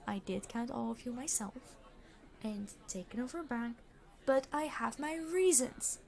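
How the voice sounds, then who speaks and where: Woman doing tired immortal supervillain for asmr roleplay, highish voice, proper wording, sarcastic boss but soft on hostages. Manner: formal, articulate phrasing with dry sarcasm. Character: weary immortal supervillain, exasperated boss yet gentle with hostages. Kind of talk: scripted ASMR roleplay acting.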